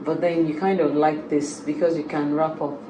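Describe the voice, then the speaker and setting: A woman's voice: sits low, little pitch movement, moderate pace. A woman interviewer asking questions in a casual, conversational way. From a very warm country. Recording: echoey room with clear background noise.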